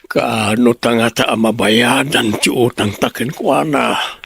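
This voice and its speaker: A man's voice: medium pitch, unhurried, gravelly, fairly bright tone, husky, no echo, exaggerated voice. A male actor playing a blunt, unapologetic man in an audio drama, with a Filipino Ilocano accent. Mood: casual.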